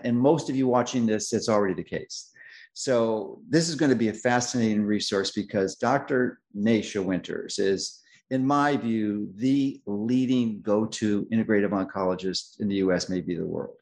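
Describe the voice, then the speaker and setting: A middle-aged man hosting a health interview, speaking emphatically and confidently, with restarts and slowed stressed phrases. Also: lowish voice, darkish tone. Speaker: host. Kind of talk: interview host, long introduction.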